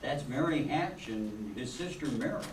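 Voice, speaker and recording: A man's voice: mid-range voice, animated, moderate pace, close to an everyday voice. An older man playing a patient, knowing angel who explains things as storyteller in an amateur radio play. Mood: patient, informative. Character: patient, knowing male angel narrating a man's life. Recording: echoey, distant, very noisy room.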